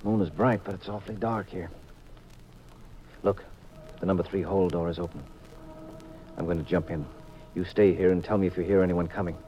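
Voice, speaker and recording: An actor playing a cool, shrewd private detective, brisk and assured, in a hard-boiled 1950s radio drama style. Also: male voice, deep voice, fairly even intonation, warm tone, soft background music, lightly acted. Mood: hushed, cautious. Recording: muffled, hissy 1950s radio recording.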